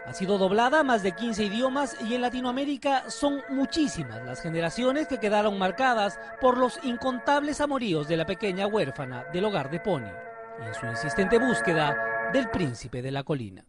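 Animated, high-pitched male narrator. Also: moderate pace, over music. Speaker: TV feature narrator. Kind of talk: scripted narration.

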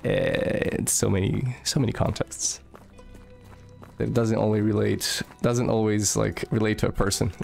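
Gravelly-voiced man streaming. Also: lowish voice, darkish tone. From Moldova. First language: Russian. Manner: chatty, loose, swears casually, reads chat aloud. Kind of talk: casual live-stream chat while gaming.

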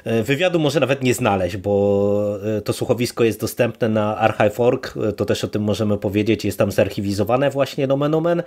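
A man's voice: on the low side, normal speed, hardly any pauses, darkish tone. A man co-hosting a podcast, giving an informal review and thinking aloud with hesitant phrasing. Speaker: podcast co-host.

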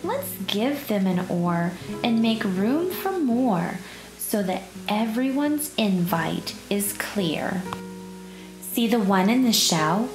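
A woman narrating a rhyming children's picture book in an expressive, measured storytelling way. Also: medium pitch. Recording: roomy echo, music behind. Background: plucked guitar music.

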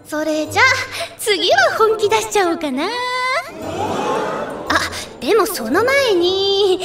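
Bubbly, very high-pitched flirty idol. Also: female voice. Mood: flirty, playful. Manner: teasing, theatrical, animated. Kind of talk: Japanese anime audio drama acting.

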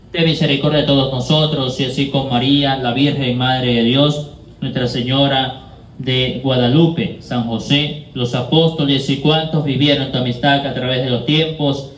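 Solemn priest in an echoey room. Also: male voice, medium pitch. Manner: solemn, measured recitation. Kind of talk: liturgical prayer at Mass. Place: small bare indoor room. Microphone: handheld microphone. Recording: echoey room, distant, background noise.

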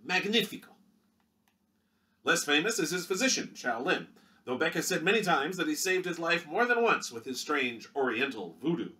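A man voicing a showy 1930s newsreel announcer with a booming delivery. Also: big pitch swings, moderate pace, sharp tone. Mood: hearty.

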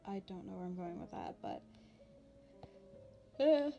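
A woman voicing a shy young demon princess in an ASMR roleplay, talking informally and haltingly to the listener. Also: on the low side, huge pitch swings, gravelly, muffled recording, exaggerated voice. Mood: hesitant, nervous. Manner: hesitant, halting, informal with long pauses. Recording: background music.

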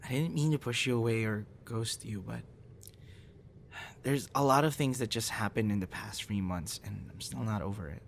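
A young man performing an intimate audio roleplay as a troubled guy confiding in a close friend after a breakup, halting and stammering. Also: on the low side, vocal fry. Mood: subdued, apologetic. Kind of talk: intimate ASMR boyfriend roleplay, acted monologue. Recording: noticeable background noise.

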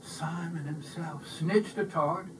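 Guy doing a kids read aloud, high bright voice, mic bit far away. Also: fairly even intonation, slow. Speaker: storybook reader. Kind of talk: animated children's read-aloud narration. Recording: distant mic with faint noise.

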